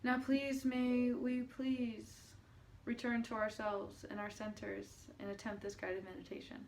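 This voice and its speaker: A woman speaking as a spiritual healer and meditation guide, addressing the listener in a halting way with long pauses and drawn-out words. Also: fairly high pitch, little pitch movement, creaky. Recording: noticeable background noise.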